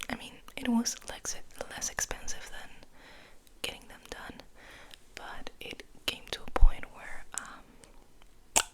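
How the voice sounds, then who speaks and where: Whispering woman, slow ASMR ramble. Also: rough recording. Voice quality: breathy unvoiced whisper. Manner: intimate, slow, softly rambling. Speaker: ASMR video host. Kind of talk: ASMR, casual rambling to the viewer.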